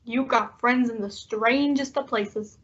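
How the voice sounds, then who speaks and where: A woman's voice: fairly high pitch, fairly even intonation, measured pace, fairly bright tone, breathy edge, lo-fi sound. A woman playing a casual, slangy, streetwise male sidekick in an old-time radio detective drama. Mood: curious, wry.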